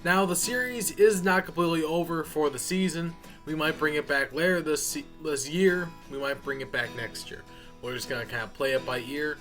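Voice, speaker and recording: A man's voice: a little high, normal speed, sharp tone, faint music behind. A man giving a casual, unscripted update as a channel host, talking informally in a folksy way and addressing viewers as y'all.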